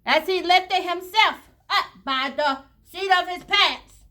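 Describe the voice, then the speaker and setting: Very high, bright woman reading to children. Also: takes it slowly. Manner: dramatic, with short phrases, addressing the children directly. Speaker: storyteller reading a picture book to children. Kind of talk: expressive read-aloud for children.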